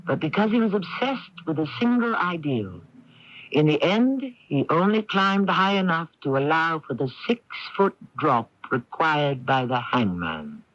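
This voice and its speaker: A man's voice: on the high side, big pitch swings, a little slow. A man hosting and narrating a radio drama in a theatrical, portentous, scripted style. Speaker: host and narrator. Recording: muffled AM radio broadcast tape.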